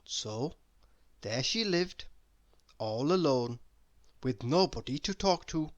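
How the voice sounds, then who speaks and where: A narrator reading a children's story aloud in a deliberate, expressive storytelling manner. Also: medium pitch. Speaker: storybook reader.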